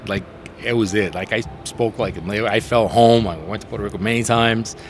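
A man's voice: fairly low pitch, normal speed, slight background noise. A middle-aged man from southern Brazil, a native Portuguese speaker, telling stories in a casual interview on a rooftop, talking into a handheld mic with many restarts. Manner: animated, rambling storyteller with many restarts. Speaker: interpreter guest. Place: outdoor rooftop terrace, city traffic behind. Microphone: handheld mic held by interviewer.

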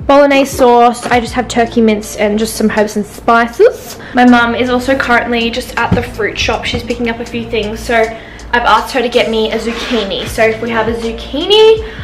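A woman's voice: fairly high pitch, moderate pace, low-quality recording. Chatty young woman vlogging. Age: 20s. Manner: chatty, upbeat, rambling. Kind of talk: casual vlog chat to camera. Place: home rooms indoors and windy beach park outdoors. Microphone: handheld camera close to face. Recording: background music under voice. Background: music.

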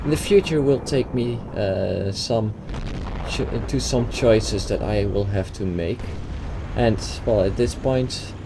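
A young man from the Netherlands with a Dutch accent, talking over a game replay with hesitant fillers and restarts. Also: mid-range voice. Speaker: gaming YouTuber. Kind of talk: gameplay commentary over a replay. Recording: game noise behind the voice.

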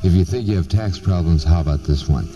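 Very deep American radio DJ man. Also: fast, mellow, highs cut off. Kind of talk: casual music-radio chat and back-announcing. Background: music.

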